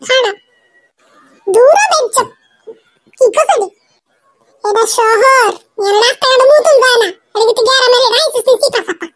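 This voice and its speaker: A performer voicing squeaky, childlike cartoon characters in comic skit dialogue, whiny, excitable, teasing and full of exclamations. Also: normal speed, long pauses, no room sound, studio quality. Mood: scolding.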